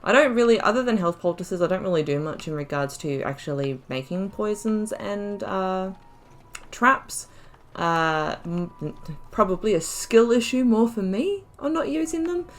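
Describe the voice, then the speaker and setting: A woman's voice: mid-range voice, expressive, moderate pace. A woman giving casual let's play commentary, chatty and musing, thinking aloud as she plays. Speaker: gaming YouTuber playing through a role-playing game.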